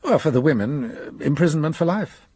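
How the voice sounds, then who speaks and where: A shrewd police detective in a radio mystery. He explains his reasoning with measured amusement in a husky voice. His pitch rises and falls in a lively way. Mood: explaining. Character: shrewd French police detective, Inspector Hanaud. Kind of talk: radio drama acting.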